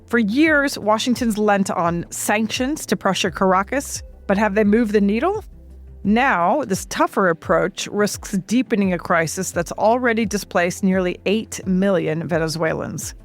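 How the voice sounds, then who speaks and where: A woman's voice: medium pitch, expressive, a little slow, soft background music. Poised woman podcast host. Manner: clear, poised, probing. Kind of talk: scripted intro then interview questions.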